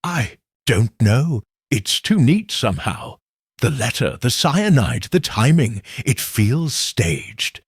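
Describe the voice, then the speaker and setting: A man voicing a troubled, suspicious man in a mystery audiobook, thoughtful, halting and serious. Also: mid-range voice, sing-song, slightly rough, no echo, studio quality, heavily acted. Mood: brooding. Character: troubled, suspicious man investigating a village death. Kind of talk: audiobook character dialogue.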